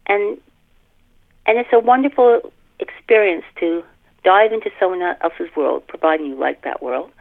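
An older woman, a guest author chatting casually in a podcast interview, animated and self-deprecating, repeating words for emphasis. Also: fairly low pitch, normal speed, gravelly. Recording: thin band-limited phone line.

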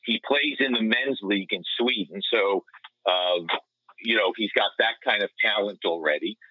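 A man with a low voice gives sports analysis as a podcast panelist. He explains things conversationally, wandering into asides and back. He comes over a dry but slightly muffled, lo-fi remote line. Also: moderate pace, no room sound. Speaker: hockey radio play-by-play announcer, podcast panelist. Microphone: remote call. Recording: dull lo-fi call-in line.

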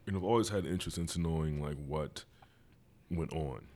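A man's voice: extremely low pitch, brisk, darkish tone. A man hosting a podcast, asking questions in an easygoing way with joking asides. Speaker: podcast host. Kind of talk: casual interview chat.

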